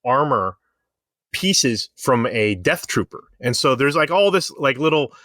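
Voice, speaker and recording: A man talks as a podcast co-host in a loose, explanatory way. His pitch sits in the middle of the male range, and the sound is dry and close. He rambles through his points like a fan among friends. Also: animated, a little slow, fairly bright tone, no room sound. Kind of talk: casual fan discussion.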